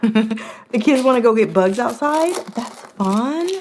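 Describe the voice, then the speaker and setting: A middle-aged woman, hosting a casual product review vlog in a chatty, animated way, a little hoarse. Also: slow pace, slight background noise. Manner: chatty and animated, with gasps and big jumps in pitch.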